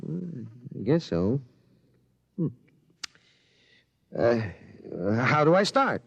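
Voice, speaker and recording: Casting: bewildered middle-aged dad, hesitant and incredulous, widely swinging intonation, vintage radio drama with muffled fidelity. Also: male voice, on the high side, big pitch swings, extremely slow, gravelly, husky, no room sound, exaggerated voice. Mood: doubtful, wondering. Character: bewildered, cautious middle-aged father of a teenage boy. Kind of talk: 1950s radio drama acting. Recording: dull vintage radio recording.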